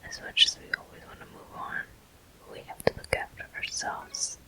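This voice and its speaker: A person whispering soothing, unhurried ASMR game commentary in a breathy voice, close to the microphone. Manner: soothing, unhurried, softly encouraging. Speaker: game streamer.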